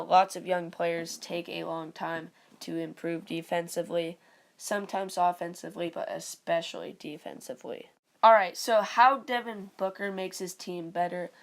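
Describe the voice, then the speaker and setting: A woman with a raspy voice and a stuffy nose, giving rambling, casual sports commentary for her video channel. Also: lowish voice. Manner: rambling, full of "like" and "you know". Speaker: video creator.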